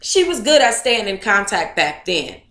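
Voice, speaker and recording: In a noticeably echoey room, a woman with a bright voice and a middle pitch reads aloud in a casual, conversational way. She is sassy and blunt, swearing freely as she comments. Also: sing-song, moderate pace. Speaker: book club host reading aloud and commenting.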